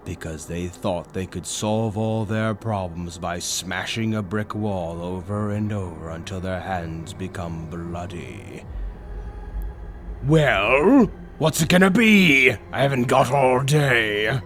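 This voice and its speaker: A man voicing a flamboyant, sinister demon tempter in a roleplay monologue, taunting the listener with theatrical, drawn-out emphasis. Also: fairly low pitch, expressive, a bit bright, slight background noise. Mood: menacing, then gleeful. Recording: background music under the voice. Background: orchestral waltz-style music.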